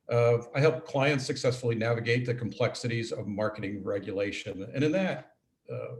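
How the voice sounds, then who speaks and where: A man hosts a professional webinar, moving between prepared introductions and casual asides. His voice sits at a medium pitch, and his delivery is halting, often broken by throat clearing. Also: slight background noise, rough recording. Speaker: webinar host and compliance consultant.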